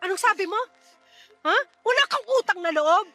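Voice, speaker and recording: A woman's voice: very high-pitched, expressive, moderate pace, sharp tone, exaggerated voice. A woman playing a harsh, defensive middle-aged mother in a radio drama, scolding and dismissive. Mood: scornful, scolding. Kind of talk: Tagalog radio drama acting. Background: music.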